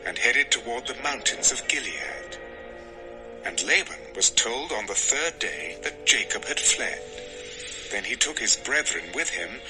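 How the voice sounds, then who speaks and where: A man's voice: medium pitch, rough recording. Male scripture narrator over music.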